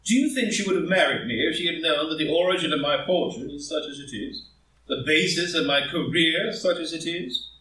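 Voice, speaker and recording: A man playing a proud, ambitious, anguished gentleman politician, speaking in impassioned formal rhetoric in a live play reading. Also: a little high, brisk. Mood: defensive, agitated. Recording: roomy echo, far from the mic.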